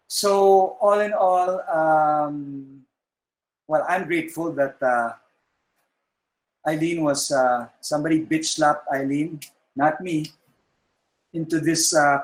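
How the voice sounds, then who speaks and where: A man's voice: on the high side, takes it slowly, pauses often, roomy sound, rough recording. A man leading a business meeting over a video call, thinking aloud with many fillers and restarts.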